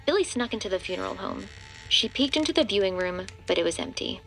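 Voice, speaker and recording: A woman's voice: mid-range voice, expressive, fast, creaky, fairly bright tone. A woman reading scripted narration for a horror audio drama. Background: background music.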